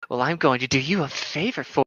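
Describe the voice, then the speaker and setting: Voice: mid-range voice, brisk, a bit bright, no room sound. A co-host doing a playful, theatrical comedic read-aloud on a slightly dull microphone. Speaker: co-host reading horror stories for laughs.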